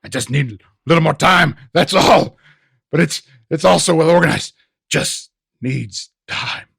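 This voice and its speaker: A man playing an anxious film studio boss in a comedic amateur radio play, stammering and hesitant, with a raspy voice. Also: on the high side, lively intonation, a little slow, fairly bright tone, dry recording, heavily acted. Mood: flustered. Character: flustered, anxious male film studio boss under pressure.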